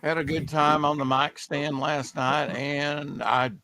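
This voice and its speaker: Rambling slightly raspy male host. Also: fairly high pitch, flat delivery, takes it slowly, bright, forceful tone. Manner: rambling, filler-heavy, trails off. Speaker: podcast host. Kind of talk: casual chat.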